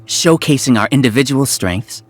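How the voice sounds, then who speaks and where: An actor playing a smug male scholar who runs a hive mind, talking in a calm, condescending lecture. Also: mid-range voice, normal speed, close to an everyday voice. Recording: faint game music behind.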